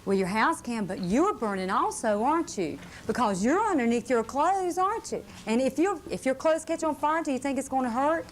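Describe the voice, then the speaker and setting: A woman's voice: high voice, lively intonation, moderate pace, few pauses. A woman presenting a fire safety lesson to children, patiently repeating points and checking with questions. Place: live puppet show before children. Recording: noisy live room on VHS tape.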